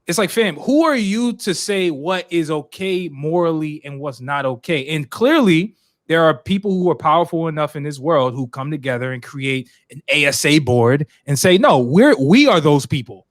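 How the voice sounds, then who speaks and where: A man's voice: on the high side, animated, normal speed, no echo, clean studio sound. A man in his thirties hosting a casual podcast, asking loose, filler-heavy questions. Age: 30s. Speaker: podcast host.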